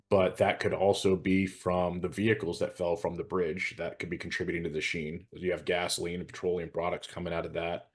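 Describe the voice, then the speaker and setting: American male, very low register. Hazmat specialist on a panel, informal but measured and matter-of-fact. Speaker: hazardous materials expert on a panel show. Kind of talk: informal explanatory discussion.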